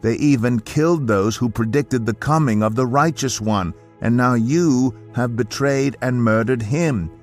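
A man giving a scripted dramatic reading of scripture in a solemn, deliberate manner. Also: medium pitch. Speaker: Bible narrator. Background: faint music.

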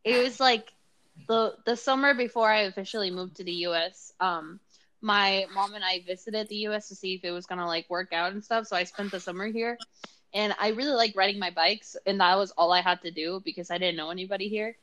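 A woman's voice: medium pitch, fairly quick. Giggly young woman telling a story. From Mexico. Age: 20s. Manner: animated, giggly, acts out voices in stories. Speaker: podcast co-host. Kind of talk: casual storytelling chat.

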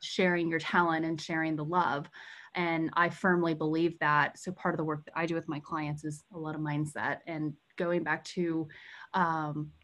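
Low-pitched American woman, animated and informal. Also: moderate pace. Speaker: podcast guest, sales coach. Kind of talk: casual interview conversation.

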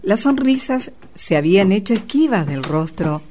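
A woman narrating a radio drama in a measured, expressive storytelling manner. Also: mid-range voice, a little hiss or hum.

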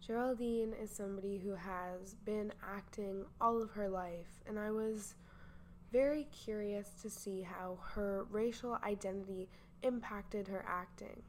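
Woman, host narrator, scripted, measured delivery, medium pitch. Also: a little mellow, a little hiss or hum. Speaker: narrator and interviewer.

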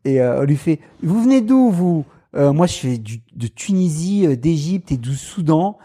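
Mellow-voiced man with a Paris suburban accent. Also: on the high side, normal speed, husky. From Paris suburbs, France. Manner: animated, mimics voices in anecdotes, laughs often. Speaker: guest. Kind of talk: casual storytelling.

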